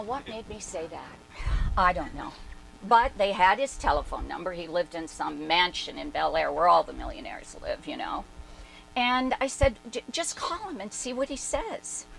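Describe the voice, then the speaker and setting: A woman's voice: lowish voice, gravelly, fairly bright tone, exaggerated voice, rough recording. A woman performing a dramatic reading as a brash, independent-minded woman recalling her past in emphatic, conversational asides. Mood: insistent, puzzled. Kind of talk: dramatic reading, animated first-person storytelling.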